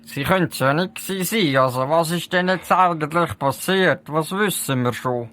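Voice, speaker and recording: A man's voice: fairly high pitch, moderate pace, fairly bright tone, lightly acted. A man playing a cautious, practical police sidekick who grumbles a little, with a Swiss German accent, in a comic audio play. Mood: questioning.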